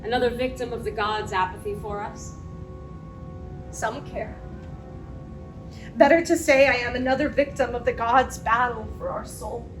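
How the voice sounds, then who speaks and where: A woman's voice: on the high side, steady tone, normal speed, pauses often, natural voice. An actress playing an anguished woman of Greek tragedy in an impassioned, declamatory stage style. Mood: bitter anguish. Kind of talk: theatrical stage acting. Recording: roomy distant stage recording with music.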